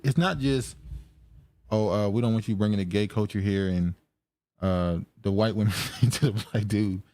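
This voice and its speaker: Brief: low male podcast host, halting and wry, dry close mic. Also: fairly even intonation, a little mellow, no room sound. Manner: musing, halting, laughs often. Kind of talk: casual chat.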